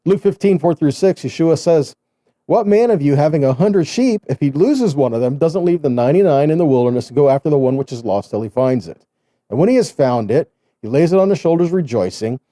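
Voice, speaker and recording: A man's voice: on the high side, expressive, brisk, warm tone, dry recording, clean studio sound. A man giving a conversational sermon as a Bible teacher, reading scripture and explaining it with rhetorical questions and frequent check-in tags.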